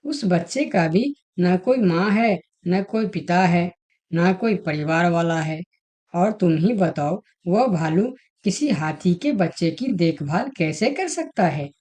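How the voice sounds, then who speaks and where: A woman playing female animals in an animated moral fable, with a persuasive manner. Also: lowish voice, takes it slowly. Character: female talking animals, fox and elephant, in a fable. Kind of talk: Hindi animated moral story dialogue.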